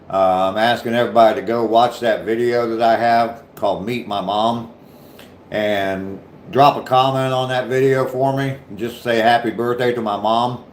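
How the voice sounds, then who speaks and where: A man from Ohio with a mild southern Ohio accent and a deep voice, chatting casually on his livestream and answering viewers' comments. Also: reverberant, slight background noise. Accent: southern Ohio, slight Appalachian touch. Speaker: livestream host.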